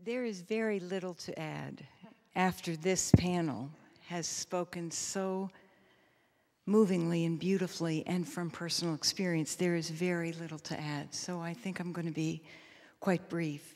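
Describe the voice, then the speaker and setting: Lowish-voiced woman pastor in an echoey hall. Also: fairly even intonation, a little mellow. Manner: measured, emphatic, repeats phrases. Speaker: pastor, panel speaker. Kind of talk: reflective, sermon-like panel remarks. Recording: echoey hall with long reverb.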